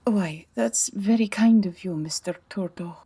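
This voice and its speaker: A woman playing a proud, wounded middle-aged Turkish bathhouse owner in an audio drama, measured and dramatic. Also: mid-range voice, dark tone, breathy edge. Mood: cool, suave.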